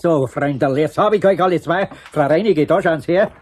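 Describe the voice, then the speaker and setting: Older Bavarian craftsman: husky, gruff, emphatic, stern but good-hearted. Children's radio drama. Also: fairly high pitch, moderate pace. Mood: scolding. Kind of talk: 1970s children's radio play acting.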